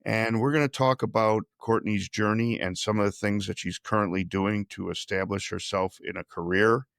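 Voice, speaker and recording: A man with a very low, gravelly voice hosts a podcast interview. He talks in a measured, unhurried way and often restarts his sentences. The sound is dry and close. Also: fairly even intonation, a bit bright, no room sound. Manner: measured, with frequent restarts and fillers. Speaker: podcast host. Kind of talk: conversational interview.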